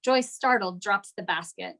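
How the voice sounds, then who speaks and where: A woman's voice: mid-range voice, expressive, unhurried, fairly bright tone. A woman narrating scripted stage directions at a table read.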